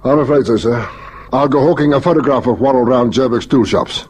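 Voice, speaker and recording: A man's voice: medium pitch, brisk, a little mellow, a little hiss or hum, close to an everyday voice. A man playing a keen, courteous police lieutenant in an old-time radio detective drama, with an inquisitive, methodical manner. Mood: brisk, businesslike. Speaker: actor in a radio play.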